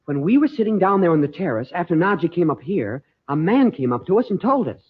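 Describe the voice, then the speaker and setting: A man's voice: high-pitched, big pitch swings, quick pace. An actor playing an eager, plain-spoken teenage boy with boyish, slangy speech in an old-time radio serial. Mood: excited. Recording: tinny, band-limited old radio recording.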